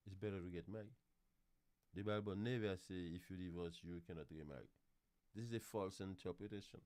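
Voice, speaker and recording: A man's voice: lowish voice, darkish tone, clear, resonant tone. A man with a Haitian accent, a pastor teaching and promoting on a livestream in an emphatic, repetitive way. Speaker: pastor and host of a marriage teaching show. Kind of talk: preaching and promotional talk on a livestream.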